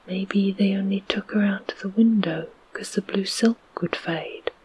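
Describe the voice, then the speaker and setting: Reader whispering as a sweet loyal little boy, breathy and slow. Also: mid-range voice, a little mellow, voice pushed up, rough recording. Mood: comforting. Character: loyal gentle young boy.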